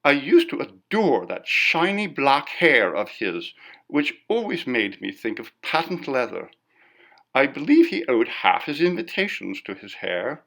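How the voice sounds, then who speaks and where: A man's voice: mid-range voice, big pitch swings, unhurried, vocal fry, fairly bright tone, voice pushed up, exaggerated voice. A man voicing a chatty society hostess in an audiobook, fond, gushing and exclamatory in an affected way. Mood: fond, curious. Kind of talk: audiobook character dialogue.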